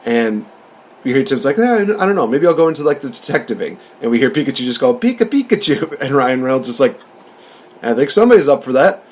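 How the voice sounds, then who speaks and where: A man's voice: mid-range voice, sing-song, quick pace, a little mellow, a little hiss or hum. A man hosting a casual review discussion, recapping and commenting in an informal, conversational way. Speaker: podcast host reviewing films.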